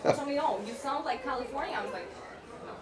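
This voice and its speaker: A woman's voice: high-pitched, expressive, fast talker. Russian-accented woman at a busy party. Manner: chatty, earnest, slightly non-native phrasing. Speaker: interviewee. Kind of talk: casual interview. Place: busy indoor party venue. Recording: crowd chatter behind.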